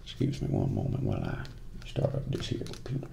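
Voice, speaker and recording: Man acting a gravelly, soft-spoken Southern motel clerk. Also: rumbling low, wildly swooping pitch, full character voice. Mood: folksy, unhurried. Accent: American Southern. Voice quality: soft, breathy, gravelly, slipping into whisper. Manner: slow, drawling, folksy, hesitant pauses. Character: laid-back folksy small-town motel clerk, adult man. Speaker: ASMR role-play performer. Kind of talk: soft-spoken ASMR role play. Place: small dim office at a desk. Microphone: close binaural microphone. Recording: noisy close binaural recording, room ambience.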